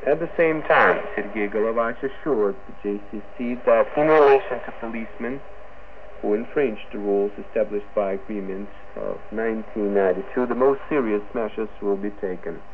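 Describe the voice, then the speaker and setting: A man formally reads a scripted news bulletin as a radio presenter, breaking his sentences into halting phrases. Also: fairly low pitch. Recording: noisy, narrow-band shortwave radio broadcast.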